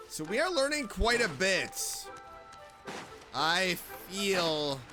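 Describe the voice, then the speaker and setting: A woman giving casual reaction commentary as a VTuber playing a video game. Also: low voice. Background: game music.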